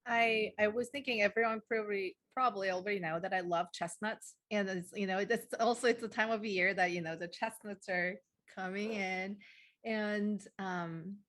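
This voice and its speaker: A woman leading an informal group listening session, conversational and halting as she searches for words. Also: mid-range voice, steady tone, a bit bright. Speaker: facilitator of a deep listening session.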